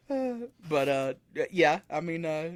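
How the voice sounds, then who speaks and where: Man, 30s, musician guest; loose, self-correcting storyteller, slightly raised pitch. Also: dry recording. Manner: rambling, self-correcting, laughs often. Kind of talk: casual interview chat. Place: room with metal posters behind him.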